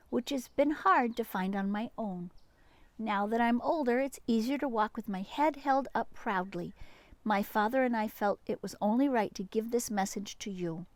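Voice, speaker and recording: A woman reads aloud for young children with a highly animated, swooping delivery. Her voice sits fairly high and she often breaks off to address her listeners. The sound is dry, with no room around it. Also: dry recording. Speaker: teacher reading a picture book to children. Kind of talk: expressive read-aloud storytelling for young children.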